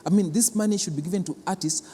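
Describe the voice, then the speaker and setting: A man's voice: high-pitched, fast talker, mellow, big-room sound, a little hiss or hum. A man with a Kenyan accent giving explanatory interview answers as an official, hesitant and often repeating words as he goes. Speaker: cultural centre official, interview guest.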